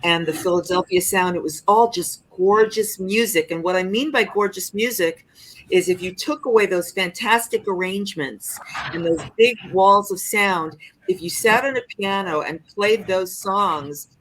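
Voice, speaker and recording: A woman's voice: mid-range voice, normal speed, low-quality recording. An older woman, a singer-songwriter, talking reflectively and expressively about her craft in a podcast interview, often restarting her sentences.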